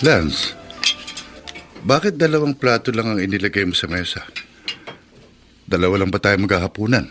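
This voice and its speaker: A man's voice: on the low side, moderate pace, long pauses, faint music behind, natural voice. A man playing an embittered, hot-tempered father of two who scolds and complains in a Filipino radio drama. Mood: stern, suspicious. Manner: scolding, loud, aggrieved.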